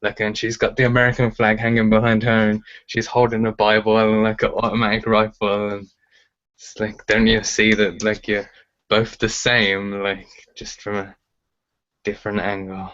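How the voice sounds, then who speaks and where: Guy guest, lowish voice, lots of likes and uhs, kinda muffled. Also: completely flat delivery, moderate pace, a bit bright. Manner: rambling, fills with "like" and "uh". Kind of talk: casual interview chat.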